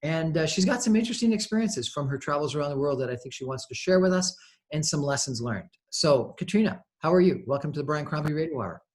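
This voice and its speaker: A man hosting a radio show, speaking in a conversational interview style with a halting, hesitant delivery. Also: on the high side, animated.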